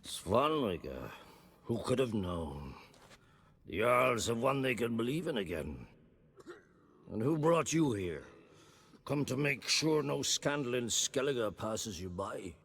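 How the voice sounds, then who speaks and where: A creaky, grumpy old druid sage. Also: male voice, mid-range voice, sing-song, takes it slowly, long pauses, fairly bright tone, full character voice. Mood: sardonic. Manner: archaic, wry, disdainful phrasing. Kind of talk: video game cutscene dialogue.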